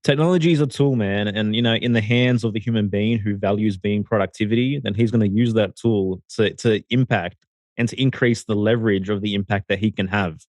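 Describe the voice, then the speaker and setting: A man's voice: lowish voice, a little fast, a little mellow, dry recording. A man with an Australian accent co-hosting a podcast in a casual, thoughtful, articulate way. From Sydney, Australia. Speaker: podcast co-host. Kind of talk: casual reflective conversation.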